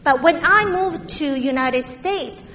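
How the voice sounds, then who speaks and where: A woman from Korea with a heavy Korean accent, preaching a sermon in a halting way, with many fillers and restarts. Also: sits high, a bit bright, in a large hall, slight background noise.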